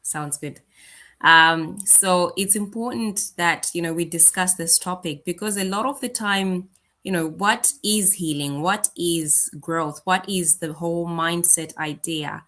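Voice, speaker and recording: A woman's voice: on the low side, measured pace, sharp tone. A woman co-hosting a livestream, giving a casual, earnest and conversational motivational talk. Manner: conversational, earnest, often says "you know". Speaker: livestream co-host, business owner.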